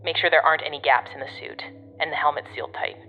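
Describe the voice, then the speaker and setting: An actress playing a gruff, exasperated but protective woman guiding a colleague over the radio in an audio drama, bossy and sardonic. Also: sits low, steady tone, fast, dull audio, soft background music. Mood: wry, instructing.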